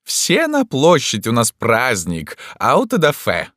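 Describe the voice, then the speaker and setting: A man voicing a lecherous, pompous emperor in an audiobook, cooing and grandiose, with drawn-out exclamations. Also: mid-range voice, big pitch swings, no echo, studio quality, voice pushed up. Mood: exultant. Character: theatrical lecherous emperor, wheedling, grandiose, gallant and menacing.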